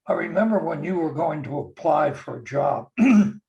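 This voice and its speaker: Older man, gentle and slow reminiscing. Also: on the high side, animated, gravelly, lo-fi sound. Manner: slow, gentle, warm storytelling with soft chuckles. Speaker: guest sharing memories. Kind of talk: casual reminiscing.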